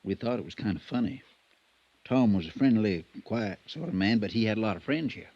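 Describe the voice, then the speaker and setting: Mellow, folksy stable hand. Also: male voice, fairly low pitch, expressive, a little fast. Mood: respectful, uneasy. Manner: folksy, hesitant, respectful. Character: deferential, plain-spoken male stable hand, loyal and worried. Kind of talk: 1950s radio drama acting. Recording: dull, muffled vintage radio recording.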